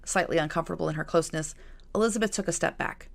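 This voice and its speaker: A woman's voice: fairly low pitch, racing, creaky. A woman reading her own novel as scripted audiobook narration.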